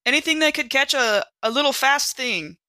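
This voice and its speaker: A woman talks casually as a player at a tabletop game. Her voice is bright and a little high. She banters playfully, half in character. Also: normal speed, no room sound.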